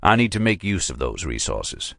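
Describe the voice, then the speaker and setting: A man voicing a suave, unflappable federal agent who talks in a creamy, dry, measured drawl. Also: low-pitched, sing-song, vocal fry, a bit bright, no room sound, full character voice.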